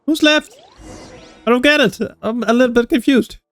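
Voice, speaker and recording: A woman's voice: a little high, moderate pace, soft background music. A woman giving casual gameplay commentary as a streamer, reacting to the game as she plays.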